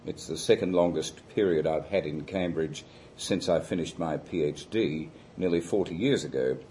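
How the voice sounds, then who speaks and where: An older man speaks into a microphone in a seminar room, his voice pitched on the low side. He lectures loudly and deliberately. His sentences are dotted with ums and hesitant restarts. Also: slight background noise. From Australia. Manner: loud, deliberate, hesitant with many fillers. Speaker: guest lecturer.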